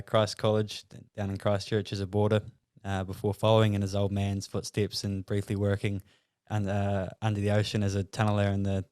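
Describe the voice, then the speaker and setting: A man with a New Zealand accent hosting a podcast, reading a guest introduction with many halting fillers. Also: fairly low pitch, darkish tone, smooth, dry recording, studio quality. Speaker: podcast host. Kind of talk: casual podcast interview and introduction.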